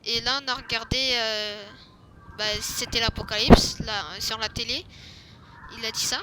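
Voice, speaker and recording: A woman's voice: fairly high pitch, fairly even intonation, normal speed. A woman telling her character's backstory during a game roleplay, hesitating often with fillers and restarts. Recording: loud background noise.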